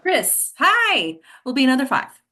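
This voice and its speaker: A woman playing a bright, forceful, curt boss. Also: sits high, wildly swooping pitch, unhurried, full character voice. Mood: brisk. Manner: curt, bossy. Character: brisk, commanding female chief of staff. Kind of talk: script table read.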